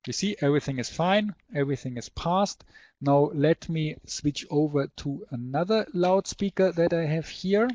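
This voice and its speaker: Higher-voiced man presenting a webinar. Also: moderate pace, darkish tone. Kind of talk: product demonstration and slide presentation.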